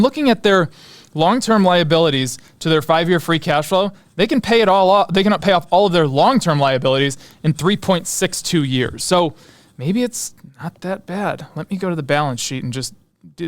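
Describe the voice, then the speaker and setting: A man's voice: on the high side, fairly quick, no echo. A man hosting a stock analysis show, talking casually and unscripted, thinking aloud as he goes. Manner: informal, thinking aloud, muttering asides to himself.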